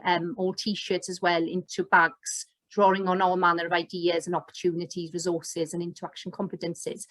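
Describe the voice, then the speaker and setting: A woman's voice: medium pitch, dry recording. A middle-aged woman with a Welsh accent talks teachers through slides on a video call, and her voice sits in the middle of the usual range for a woman. She is upbeat and a bit rambling, full of fillers and restarts. The webcam sound is close and dry. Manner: enthusiastic, loose with many fillers and restarts. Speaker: educator and presenter. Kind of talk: online course presentation over slides.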